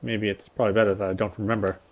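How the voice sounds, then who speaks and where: A man's voice: on the low side, flat delivery, very fast. A man streaming a visual novel, reading its story aloud and commenting casually. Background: faint game music.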